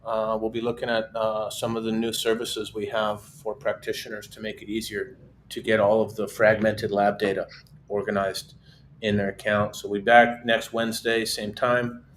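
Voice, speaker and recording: A man with a low, flat voice hosts a casual online talk. He speaks in a relaxed, even way, often restarting his sentences. A faint hum runs behind him. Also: normal speed. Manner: relaxed, steady, conversational with repeated restarts. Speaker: host. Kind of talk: casual webinar chat.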